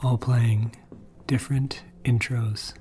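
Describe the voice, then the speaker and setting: A man gently introducing a bedtime podcast episode in an unhurried way, speaking directly to listeners. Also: mid-range voice, little pitch movement. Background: music.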